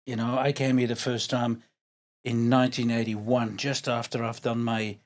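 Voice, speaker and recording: A man's voice: mid-range voice, flat delivery, moderate pace. A man in his sixties from Belgium with a Belgian accent, chatting as a podcast guest, repeating words as he searches and laughing easily. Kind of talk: casual interview chat.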